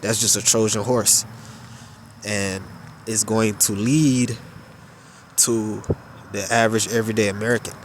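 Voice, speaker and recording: A man with a stuffed-up, nasal voice giving unhurried religious commentary, drawing out his phrases with filler pauses. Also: on the low side, little pitch movement, a little hiss or hum.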